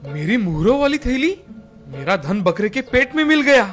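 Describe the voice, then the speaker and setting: A man's voice: very high voice, big pitch swings, normal speed, fairly bright tone, faint music behind, exaggerated voice. A man playing a hard-working, secretive farmer husband in a moral-story cartoon, with theatrical, exclaiming delivery. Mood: pleased. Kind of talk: exaggerated Hindi moral-story cartoon dialogue.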